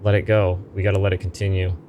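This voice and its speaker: A man's voice: deep voice, completely flat delivery, quick pace, warm tone, a little hiss or hum. A man playing a video game on stream, reading the story text aloud and adding casual asides.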